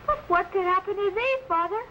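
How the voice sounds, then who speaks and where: Woman as bright, high-voiced eager Swiss boy. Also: fairly quick. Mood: earnest, curious. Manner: earnest, archaic formal speech. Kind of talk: old-time adventure serial acting. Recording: slightly noisy vintage broadcast recording.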